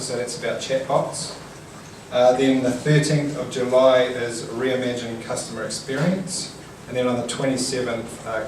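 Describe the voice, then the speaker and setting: A man with a loud, projecting voice giving an informal corporate welcome talk. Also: mid-range voice. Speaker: company general manager welcoming guests. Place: indoor event venue in an office building. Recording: echoey room, distant mic, noisy.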